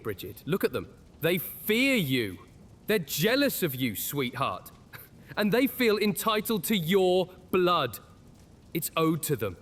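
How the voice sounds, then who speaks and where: A man's voice: a little high, a bit bright. A man playing a sly, smugly charming male witch in a horror audio drama, taunting and theatrical.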